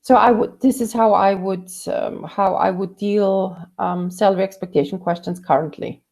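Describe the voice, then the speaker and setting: A woman's voice: medium pitch, fairly even intonation, normal speed, gravelly, darkish tone. A woman career coach answering viewer questions in a conversational way, with many fillers and restarts. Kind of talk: live Q&A career advice.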